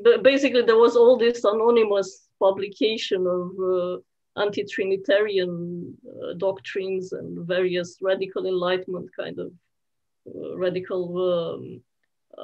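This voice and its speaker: A woman's voice: mid-range voice, a little mellow. A woman hosting a discussion, asking questions in a searching and hesitant way with many fillers, over a video call. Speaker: host. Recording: dull lo-fi video call audio.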